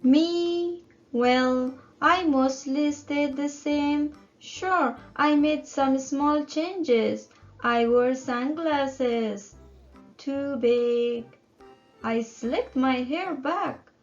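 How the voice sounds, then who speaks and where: A woman with a high voice reads a children's picture book aloud in an expressive story-time style. The recording is slightly muffled, and music plays behind her narration. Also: very slow. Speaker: children's story time reader and channel host. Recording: muffled audio under music.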